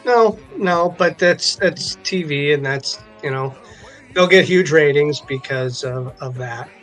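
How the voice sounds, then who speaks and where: Higher pitched guy chatting sports as cohost, stop-start delivery, faint music under him. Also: slow pace, fairly bright tone, rough recording. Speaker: co-host of a sports card live stream. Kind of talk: casual sports chat.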